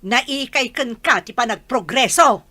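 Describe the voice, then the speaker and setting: A woman's voice: fairly high pitch, measured pace, sharp tone. A woman playing an indignant married older sister and farm owner, with an Ilocano accent, in a comedy radio drama.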